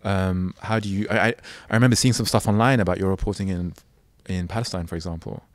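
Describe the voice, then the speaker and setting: A man hosts the conversation with a very low voice and a rapid delivery. He probes his guest with searching questions full of restarts, and the sound is close and dry. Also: fairly even intonation, no room sound. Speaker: podcast host. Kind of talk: conversational interviewing.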